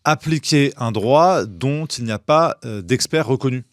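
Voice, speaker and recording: Male interviewer, mid-pitched, brief prompts, dry close sound. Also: animated, unhurried, no echo. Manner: short prompts and follow-up questions. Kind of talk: interview questions.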